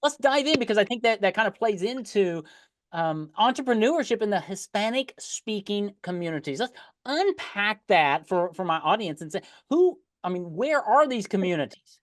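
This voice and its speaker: A man hosting an interview podcast, talking in a halting way with many restarts and fillers. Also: high voice, sing-song. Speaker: podcast host.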